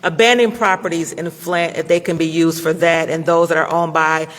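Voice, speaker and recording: A woman moderating a city public input meeting, speaking firmly and clearly with a big voice, in a public meeting hall. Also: deep voice, fairly even intonation, moderate pace. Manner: firm, clear, loud speaker. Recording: reverberant hall.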